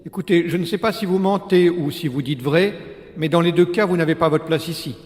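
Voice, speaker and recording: A man acts a village priest in an audio drama. The slightly high voice is severe and disbelieving, echoing with long reverb in a large interior. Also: a little fast, darkish tone, lightly acted. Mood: wary, stern. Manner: indignant, severe. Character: stern, sceptical village priest. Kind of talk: French audio drama acting. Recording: echoey church-like hall with long reverb.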